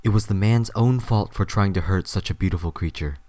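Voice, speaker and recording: A man's voice: sits low, fairly quick, dark tone, no room sound. A man narrating a scripted story as the narrator of an audio drama.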